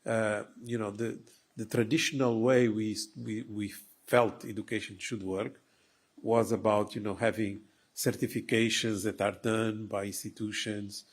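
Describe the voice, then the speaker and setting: Male academic panelist, deep husky voice, measured. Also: little pitch movement. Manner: measured, brisk. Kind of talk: panel discussion.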